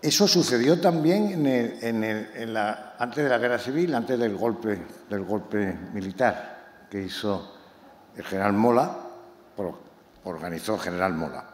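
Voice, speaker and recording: A novelist from Málaga, presenting his book to an audience in a talk that is impassioned and halting, with many repeated words. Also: fairly low pitch, lively intonation. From Málaga, Spain. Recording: echoey hall, faint room noise.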